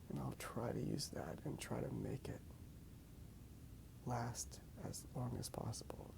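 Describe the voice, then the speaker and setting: A man rambling softly in a breathy ASMR whisper, unhurried and halting as he comments on his drawing. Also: mid-range voice. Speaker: host of a drawing ASMR channel.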